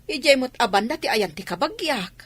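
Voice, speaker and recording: A woman's voice: on the high side, animated, brisk, fairly bright tone, husky. A woman playing a chatty, eager village woman in a comedy radio drama. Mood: eager, coaxing. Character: chatty village woman keen on city shopping. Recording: faint background ambience noise.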